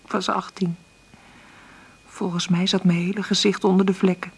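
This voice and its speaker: A husky, breathy middle-aged woman in a naturalistic radio play. She is an insecure, tender mother who hesitates and corrects herself, often half-whispering as if thinking aloud. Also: on the low side, little pitch movement, normal speed, exaggerated voice. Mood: confiding. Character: insecure, struggling divorced mother, tender and nervous. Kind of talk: naturalistic radio play acting with intimate monologue.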